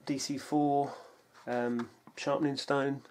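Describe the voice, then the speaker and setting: A British man presents his gear casually at an unhurried, halting pace. He thinks aloud in a low-key way. It is a home video made indoors on a camera mic, with clear noise and clatter of handled gear. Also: flat delivery, a little mellow. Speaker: bushcraft hobbyist presenting his kit. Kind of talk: casual unscripted gear rundown. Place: indoors on a wooden floor at home. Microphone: camera mic, a short distance away. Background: clicks and clatter of handled gear.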